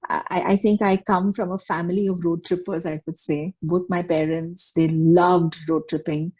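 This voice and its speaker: A woman in her forties from Kerala, a podcast guest telling childhood stories in a relaxed, halting way with many fillers. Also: fairly low pitch. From Kerala, India. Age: 40s. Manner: hesitant, many fillers and restarts. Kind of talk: conversational storytelling.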